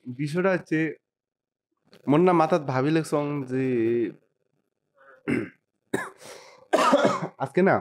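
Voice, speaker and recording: A man's voice: fairly high pitch, extremely slow, long pauses. A young man with a North Bengal accent in a casual, teasing phone chat, often repeating himself as a YouTube prankster.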